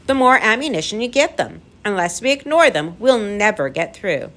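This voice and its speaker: A stern but steady gentleman professor, voiced by a woman narrator, watching over children. He admonishes firmly in measured phrases with expressive pitch, in a storybook style.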